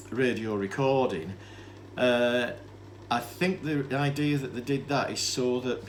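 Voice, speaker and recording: A man giving an informal, unscripted equipment demonstration, explaining things in a matter-of-fact, slightly rambling and hesitant way. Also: medium pitch. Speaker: presenter demonstrating vintage tape recorders. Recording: clear steady background noise.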